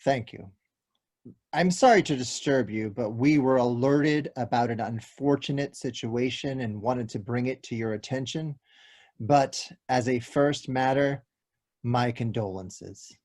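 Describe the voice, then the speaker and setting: A man's voice: mid-range voice, a little slow, a little mellow, close to an everyday voice. A man playing an earnest, slightly awkward police detective in a comic play read aloud. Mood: apologetic. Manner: formal, apologetic. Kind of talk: table-read stage comedy acting.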